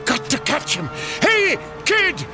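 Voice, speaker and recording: An actor playing a dour, flustered small-town grumbler of 1890 in a radio drama, with a blustering, exclamatory delivery. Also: male voice, extremely high pitch, wildly swooping pitch, slow pace, music in the background, exaggerated voice. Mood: frantic, indignant.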